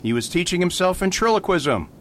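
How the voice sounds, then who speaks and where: Radio mystery priest male actor, gentle and amused, fairly high voice with swooping intonation. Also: a little fast. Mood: matter-of-fact. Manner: animated, patiently explanatory. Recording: faint rumbling background noise.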